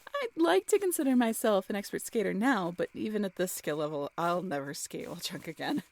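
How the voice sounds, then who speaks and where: A woman acting a cheerful, affectionate young woman in an intimate roleplay audio drama, with a playful, teasing, expressive delivery. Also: mid-range voice, a little fast, gravelly, dry recording. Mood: chatty, amused.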